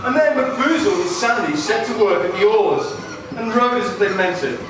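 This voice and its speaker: A man's voice: high voice, animated, fairly quick, over music. A man narrating a puppet show as a live storyteller. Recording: distant, very echoey, noisy live hall.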